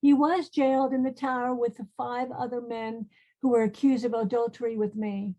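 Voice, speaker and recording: A woman plays a doomed young queen in a stage drama. Her voice is high, and she speaks in an earnest, measured way, proud and devout. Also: level tone, dark tone, natural voice, rough recording. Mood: solemn, resolute. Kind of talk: online play reading.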